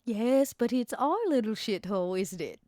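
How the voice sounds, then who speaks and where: A woman playing a shrewd female vampire smuggler in a tabletop game, bargaining in a deliberate, persuasive way. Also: fairly high pitch, lively intonation, mellow, no room sound, studio quality, voice pushed up, close to an everyday voice. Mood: teasing, intrigued. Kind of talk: in-character tabletop roleplay.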